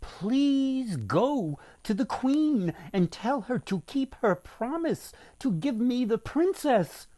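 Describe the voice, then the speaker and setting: A man's voice: extremely high pitch, big pitch swings, slow pace, few pauses, dark tone, slight background noise, pitched above the performer's own voice, heavily acted. A man voicing an earnest young crab suitor in a folktale, speaking emphatically and insistently.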